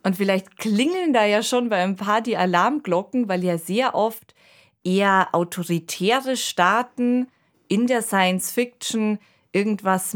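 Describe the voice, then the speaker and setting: Conversational woman hosting a podcast. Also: mid-range voice. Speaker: podcast host. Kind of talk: conversational radio podcast discussion.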